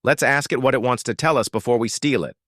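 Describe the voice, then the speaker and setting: A man voicing a quiet, curious male crew tech who speaks in a quick, coaxing hush. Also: mid-range voice, no room sound, clean studio sound, voice pushed up, natural voice.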